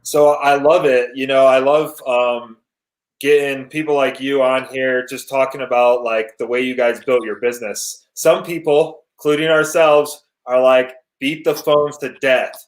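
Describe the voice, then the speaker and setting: A man's voice: mid-range voice, fairly even intonation, unhurried. A man hosting an interview, upbeat and encouraging in casual chat. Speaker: podcast host. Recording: echoey room.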